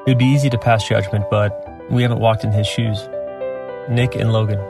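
A man's voice: on the low side, fairly even intonation, a little fast, lightly acted. A man playing a sympathetic member of the public reading out his comment in a drama. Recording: loud music over voice.

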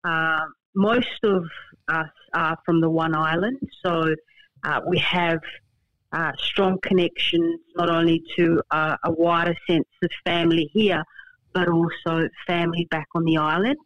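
An Australian woman in her fifties, a barrister being interviewed, speaking in a measured, halting way with many fillers and repeated words. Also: lowish voice, steady tone, no echo, highs cut off. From Darwin, Northern Australia. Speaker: barrister and guest. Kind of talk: podcast interview. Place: remote, from her hometown.

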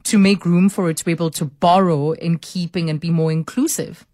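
A woman interviews a guest with attentive, measured questions. Her voice sits on the low side and is recorded dry, with no room sound. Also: fairly even intonation, a little mellow, no room sound. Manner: attentive, brief affirmations.

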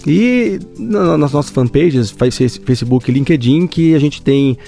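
A Brazilian man who runs a company, answering interview questions in an earnest, measured way with frequent hesitations. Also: on the high side, lively intonation, gravelly, dark tone, faint music behind. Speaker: company founder, guest.

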